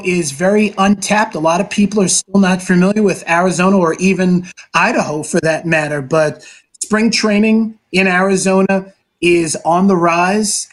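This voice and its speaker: A man who works as a sports broadcaster, answering interview questions at length in an earnest, talkative way with some filler pauses. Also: high voice. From New York City, Brooklyn.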